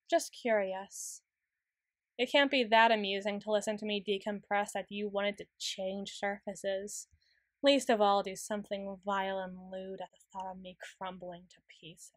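A woman playing a proud, anxious, easily flustered tsundere young woman in an intimate ASMR roleplay, stammering and halting with wordy, formal phrasing. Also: mid-range voice, no room sound, studio quality, natural voice. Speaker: audio roleplay voice actor.

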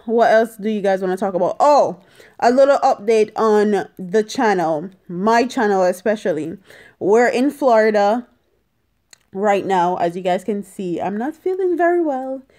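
A young adult woman, talking emphatically and deliberately in a casual opinion vlog, repeating phrases for stress. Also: medium pitch, animated, a little mellow. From Jamaica. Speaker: vlogger. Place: small indoor room at home. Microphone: phone at arm's length.

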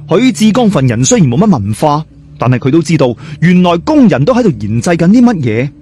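Animated Cantonese male storyteller, fairly high voice. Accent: Hong Kong or Guangdong Cantonese. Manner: dramatic, suspenseful storytelling delivery. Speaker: storyteller, narrator. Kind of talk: scripted horror story narration.

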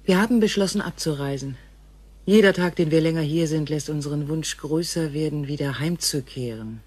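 A woman playing a grave, gentle female alien visitor in a science fiction radio play, speaking in formal, measured phrases. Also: deep voice, slightly rough, no echo. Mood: earnest, inviting.

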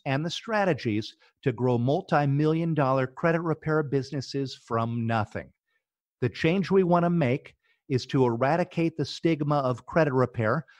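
A man's voice: mid-range voice, no echo. A middle-aged man, a business founder, talking eagerly as a podcast guest and often restarting his words. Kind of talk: casual interview talk.

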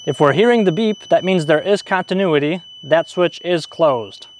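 A man's voice: fairly high pitch, moderate pace, slight background noise. A man in his thirties giving an informal, step-by-step hands-on demonstration in plain language, speaking into a clip-on mic. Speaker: DIY repair video host. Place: outdoors in a leafy garden at a table. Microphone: clip-on wireless mic on the chest.

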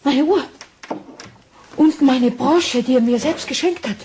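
An actress playing an anxious, trusting Victorian wife in a German radio crime drama, earnest and refined. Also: female voice, high voice, unhurried, a little mellow, slight background noise.

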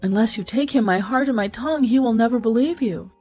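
A woman voicing a gentle, sorrowful young princess in a storybook, speaking earnestly and plaintively. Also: fairly high pitch, quick pace, husky, faint music behind, voice pushed up. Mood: pleading.

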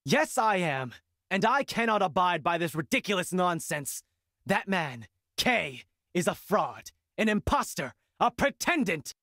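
An actor voicing a pompous, blustering villain who claims to be the true heir, in a theatrical video game style. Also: male voice, high-pitched, bright, forceful tone, no echo, studio quality, exaggerated voice. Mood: indignant.

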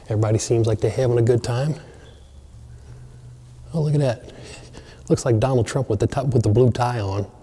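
A man excitedly narrating a walkthrough of an empty mansion, chatting to viewers as he films. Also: mid-range voice, brisk, long pauses, slightly rough. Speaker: abandoned places explorer. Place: large empty mansion, indoors.

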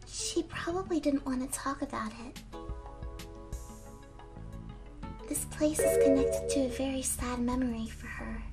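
A young woman voicing a gentle, frail, wistful teenage girl in a video game fan dub, speaking softly and earnestly. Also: sits high, moderate pace. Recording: amateur fan dub over game music. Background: game music.

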